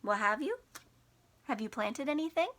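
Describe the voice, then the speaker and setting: A woman's voice: fairly high pitch, lively intonation, normal speed, a bit bright, slight background noise. A woman teacher reading aloud to young children, explaining things simply and stressing key words expressively. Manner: explains simply to children, asking them questions. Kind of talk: picture-book read-aloud for young children.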